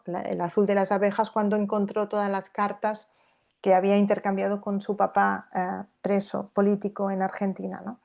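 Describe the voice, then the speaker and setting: Woman lecturer, medium voice, reflective and halting, many pauses. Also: medium pitch, gravelly, a little mellow. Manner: thoughtful, hesitant, with many fillers and tag questions. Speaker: university lecturer. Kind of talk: academic talk with read-aloud quotations. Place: lecture hall at a public talk.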